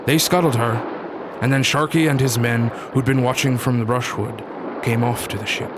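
An actor playing a sombre, plain-spoken sailor turned pirate in a period radio drama, speaking in formal, archaic phrases. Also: male voice, mid-range voice, fairly even intonation, moderate pace, noisy background, natural voice.

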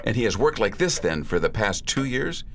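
A man playing an attentive, easily startled gentleman in a period radio drama, speaking formally and with some hesitation. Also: fairly low pitch, sing-song, moderate pace, a little hiss or hum. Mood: thoughtful.

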